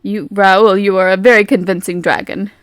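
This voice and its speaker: A woman voices a polite gentleman in a tabletop roleplay. He is measured, formal and bemused, speaking lower than her natural voice in a very dry recording. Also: fairly even intonation, clear, resonant tone, dry recording, close to an everyday voice. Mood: puzzled, polite. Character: polite, puzzled older brother, a nobleman.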